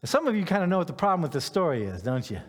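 A man's voice: on the high side, sing-song, very fast, breathy edge. A middle-aged man from Ghana, a pastor giving an informal, conversational sermon in an anecdotal, self-deprecating way. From Ghana, West Africa. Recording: long hall reverb.

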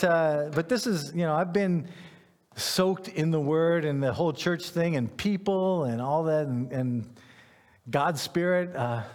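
A middle-aged man from Ghana, a pastor giving an informal, conversational sermon in an anecdotal, self-deprecating way. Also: on the high side. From Ghana, West Africa. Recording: long hall reverb.

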